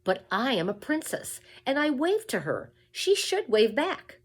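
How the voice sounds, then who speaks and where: A woman's voice: sits high, big pitch swings, a little slow, slightly rough, pitched above the performer's own voice, full character voice. A woman voicing a young princess, a merry and petulant little girl calling out in a storybook reading. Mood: indignant. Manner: bright calling out, indignant.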